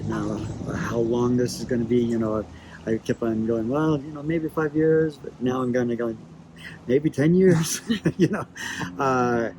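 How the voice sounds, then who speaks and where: A man from Ecuador speaking casually as a missionary in an interview, haltingly searching for words. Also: mid-range voice, noisy background. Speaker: missionary and Bible translator. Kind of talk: casual promo video interview.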